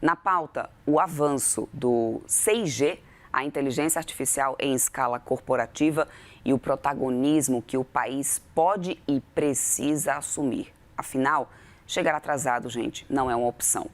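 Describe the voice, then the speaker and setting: A Brazilian woman presenter reading a scripted news introduction in a crisp, expressive way. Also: extremely low pitch, normal speed. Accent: Brazilian Portuguese.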